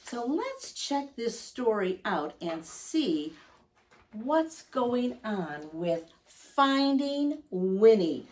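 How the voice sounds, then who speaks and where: A woman hosting storytime for young children, reading aloud and speaking directly to them with gentle questions and simple explanations. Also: mid-range voice, far from the mic. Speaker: children's storytime host and reader.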